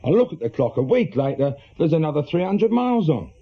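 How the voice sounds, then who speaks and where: Husky, animated English ex-crook. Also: male voice, on the high side, brisk. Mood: uneasy, worried. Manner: hesitant, colloquial, defensive. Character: awkward middle-aged reformed small-time crook, worried husband. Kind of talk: British radio drama acting. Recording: muffled, lo-fi radio drama recording.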